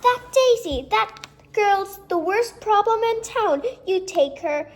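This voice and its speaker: A woman's voice: very high-pitched, animated, takes it slowly, voice pushed up, exaggerated voice. A woman voicing a wise, self-important male school principal in a storybook, earnest and fussy as he declares his thoughts. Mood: urgent.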